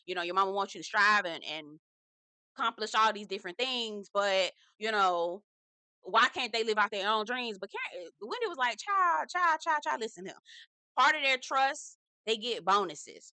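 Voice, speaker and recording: A woman's voice: on the high side, pushed and bright, no room sound. A woman recapping reality TV in a sassy, blunt, casual way, swearing freely and asking "okay?" often. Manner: sassy, blunt, swearing, heavy slang, lots of "okay?" and "girl".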